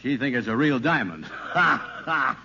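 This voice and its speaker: A man's voice: mid-range voice, animated, normal speed, vocal fry. A gullible, self-pleased suitor in a vintage radio sitcom. He speaks simply, in folksy grammar, on a muffled and very noisy recording. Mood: proud. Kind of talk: 1950s radio sitcom acting.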